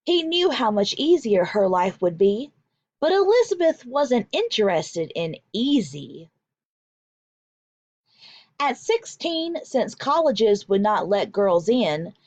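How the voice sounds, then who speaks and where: A woman teacher reading aloud to children in an expressive, deliberate, emphatic way. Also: a little high, no room sound, dull audio. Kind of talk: expressive children's read-aloud with vocabulary explanations.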